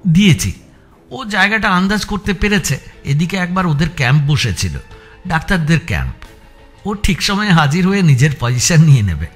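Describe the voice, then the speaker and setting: Male narrator as young sidekick, earnest, respectful, inquisitive, matter-of-fact, expressive intonation, audio story, light music underneath. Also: a little high, moderate pace, pitched above the performer's own voice. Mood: assured. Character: earnest younger male assistant, respectfully questioning his boss. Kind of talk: Bengali audio story dramatization.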